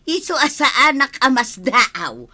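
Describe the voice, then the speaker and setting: A woman with an Ilocano accent playing an excitable, giggly village gossip with drawn-out exclamations in a comedy radio drama. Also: high-pitched, heavy background noise, full character voice. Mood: gleeful.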